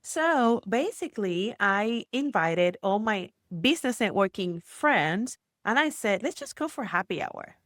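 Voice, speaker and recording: Puerto Rican woman, entrepreneur guest, chatty and animated, mid pitch, dry close sound. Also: unhurried, few pauses, dry recording. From Puerto Rico. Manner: chatty, animated storytelling with many fillers. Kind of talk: casual interview chat.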